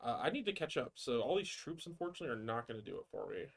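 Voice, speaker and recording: A man's voice: medium pitch, very animated, rapid-fire, gravelly. A man playing and hosting a board game session in casual, conversational talk. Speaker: host and player in a board game livestream. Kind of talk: casual tabletop play chat.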